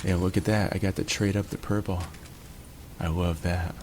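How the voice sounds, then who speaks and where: A man's voice: low voice, flat delivery, moderate pace, a little mellow, slight background noise. A man hosting his own channel, casually narrating a match from home in a laid-back, jokey, chatty way. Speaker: gaming channel host. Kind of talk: relaxed gameplay commentary.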